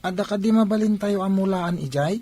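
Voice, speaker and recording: Casting: performer as hard-up, earnest pupil, Filipino radio drama, mellow and gently plaintive. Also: mid-range voice, brisk, close to an everyday voice. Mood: curious, hopeful. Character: earnest, hard-up schoolchild pleading with mother.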